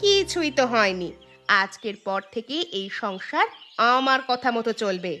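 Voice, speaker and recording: A woman plays a short-tempered, scornful village wife in a cartoon. Her voice is high and insistent, always scolding. Music plays clearly underneath. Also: sing-song, a little slow, sharp tone, exaggerated voice. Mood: angry, demanding. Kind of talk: Bengali cartoon dubbing.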